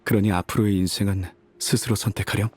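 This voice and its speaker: A man's voice: fairly low pitch, flat delivery, fast talker, a little mellow, breathy edge. A man voicing an ailing old mercenary leader, a boastful but fond father, in a wistful, wry, folksy game performance. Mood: apologetic, tender. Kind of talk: dramatic game voice acting, letter read aloud.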